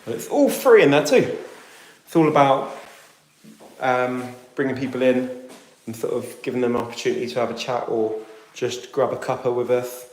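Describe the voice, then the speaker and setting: A man's voice: medium pitch, moderate pace. A British man chatting casually on a live stream while cleaning, reading out and answering viewer comments. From Britain. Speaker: vlogger. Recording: roomy home recording with faint noise.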